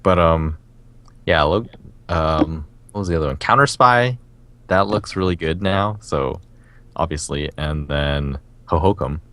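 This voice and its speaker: A man's voice: rumbling low, a bit bright. A man co-hosting a casual video game podcast, rambling along enthusiastically and often breaking into laughter as he talks. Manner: laughs often while talking. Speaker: podcast co-host.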